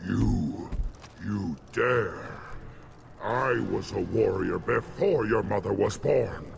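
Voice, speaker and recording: Ancient gravelly alien warrior shaman. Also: fairly low pitch, lively intonation, slow pace, slight background noise, full character voice. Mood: angry, imperious. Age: older. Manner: solemn, commanding, ceremonial. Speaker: clan shaman. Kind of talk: video game cutscene acting.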